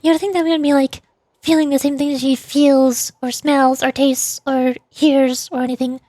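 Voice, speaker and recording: High-voiced woman streaming a game. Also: little pitch movement, normal speed, warm tone, no room sound, studio quality. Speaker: streamer and VTuber. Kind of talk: casual game commentary with voice acting.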